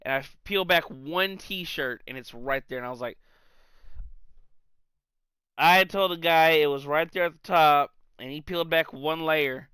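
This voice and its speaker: A man co-hosting a podcast, telling comic anecdotes in a casual, animated, sweary way. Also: a little high, a little slow, smooth, dry recording. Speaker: podcast co-host.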